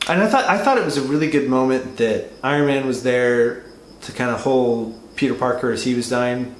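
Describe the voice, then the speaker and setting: A man co-hosting a casual, conversational review, qualifying his points in halting phrases. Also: medium pitch. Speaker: co-host reviewer. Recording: roomy echo with background noise.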